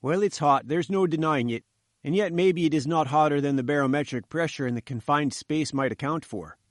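A man's voice: a little high, a little fast, no room sound, clean studio sound, lightly acted. A man voicing a thin, melancholy head engineer in an audiobook, talking in a gloomy, worried manner. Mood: matter-of-fact, weary.

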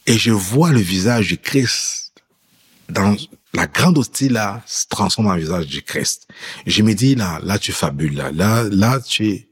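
A man's voice: lowish voice, animated, unhurried, breathy edge. A man telling a personal story in an interview, acting out bits of dialogue as he recounts it. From immigrant who lived in France before Quebec. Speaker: guest telling his faith story.

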